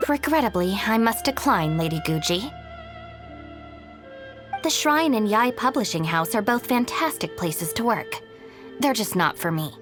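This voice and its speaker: A woman's voice: medium pitch, expressive, measured pace, pauses often, lightly acted. A woman voicing a guarded, pragmatic young woman in a video game, speaking in a dry, matter-of-fact way. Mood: polite, firm. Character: young woman, guarded, pragmatic, no-nonsense masked gang deputy. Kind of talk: video game character acting. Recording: background music under the voice. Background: music.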